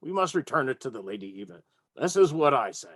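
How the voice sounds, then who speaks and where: A man's voice: mid-range voice, sing-song, fast, a bit bright, no echo, studio quality. A man voicing a grave, weary dwarf adventurer with formal, old-fashioned phrasing in a tabletop game. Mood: solemn.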